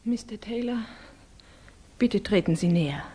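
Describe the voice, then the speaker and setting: An actress playing a refined, earnest young Russian woman in grief, speaking haltingly in a 1960s German radio drama. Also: mid-range voice, lively intonation, dark tone, breathy edge. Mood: overwhelmed, formal. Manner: halting, formal, intense.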